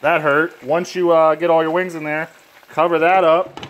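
A young man in his twenties filming a comedic cooking video in his home kitchen on a phone held at arm's length, cracking crude deadpan jokes and swearing freely. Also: fairly high pitch, normal speed. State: hungover.

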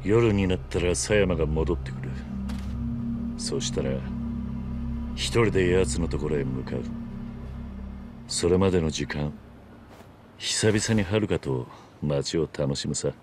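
Stoic gangster hero, extremely deep voice, terse, restrained, video game drama, background music. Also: male voice, animated, a little slow, pauses often. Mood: calm, resolute. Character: stoic, steely tough ex-yakuza man in his thirties.

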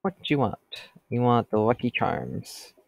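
A man's voice: medium pitch, animated, measured pace, creaky, no echo, studio quality. Stuffy-nosed teen boy chatting over a game. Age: teens. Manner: chatty, rambling, talks to customers. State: stuffy from a cold. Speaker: gameplay commentator. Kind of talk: casual gameplay commentary.

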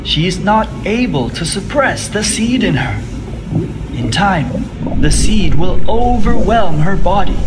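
A man's voice: high voice, heavy background noise. A man playing a weary elderly scientist, grave and methodical, in a video game cutscene. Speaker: doctor.

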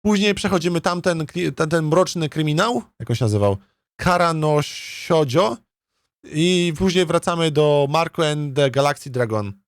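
A man's voice: on the high side, moderate pace, no room sound, clean studio sound. A man chatting casually as a game streamer, thinking aloud in a hesitant, rambling way and often repeating his words. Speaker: streamer, let's play host.

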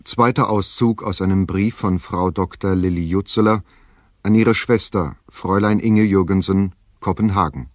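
Radio play: male actor as sober official announcer, deep voice, dry studio sound, formal delivery. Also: normal speed, no echo, lightly acted. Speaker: narrator announcing documents.

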